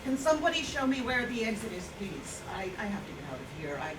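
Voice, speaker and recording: A woman's voice: fairly high pitch, very animated. Woman acting an agitated visitor, roomy and distant. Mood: panicked, pleading. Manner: halting, repeating words. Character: agitated woman visitor desperate to leave an exhibition. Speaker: actor in a staged reading. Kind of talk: staged reading, dramatic acting. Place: indoor room at a staged reading. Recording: roomy, distant mic, noisy live hall recording.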